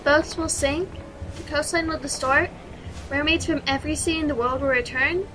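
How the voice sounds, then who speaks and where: A woman's voice: high voice, steady tone, moderate pace, noisy background, natural voice. A woman playing an eccentric, childlike schoolgirl in an audio drama, with a whimsical, theatrical way of talking. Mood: ominous, dreamy. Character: eccentric, childlike schoolgirl who tells fanciful lies.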